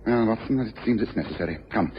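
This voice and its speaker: An actor playing a shrewd, self-assured English gentleman detective in an old-time radio drama. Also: on the low side, quick pace, natural voice. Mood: brisk, resolute. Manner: articulate, authoritative, self-assured. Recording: dull, hissy old radio recording.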